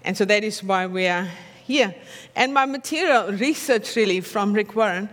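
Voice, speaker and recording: A woman's voice: medium pitch, animated, moderate pace, a bit bright, long reverb tail. A woman with an Afrikaans accent preaching a sermon in a conversational way, often checking in with her listeners through tag questions.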